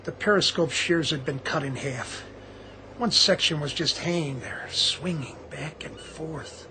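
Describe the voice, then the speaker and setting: A shaken, high-voiced Navy diver. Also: male voice, expressive, measured pace. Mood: subdued. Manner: hesitant, deferential, hushed. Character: shaken, respectful young navy diver reporting a wreck. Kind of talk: dramatic radio theatre acting. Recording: clear background noise.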